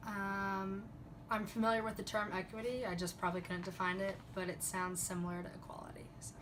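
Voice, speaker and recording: A woman's voice: medium pitch, flat delivery, fairly quick. A young woman student from New Orleans, plainspoken and a little unsure in her answers. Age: young adult. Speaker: student interviewee.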